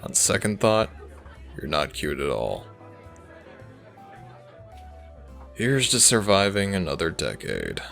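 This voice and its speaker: A man's voice: low voice, big pitch swings, moderate pace, creaky, a bit bright, soft background music, heavily acted. A man playing a cocky, flirtatious werewolf from a rival pack in an audio roleplay drama, smug and theatrical in his teasing. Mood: mock offended.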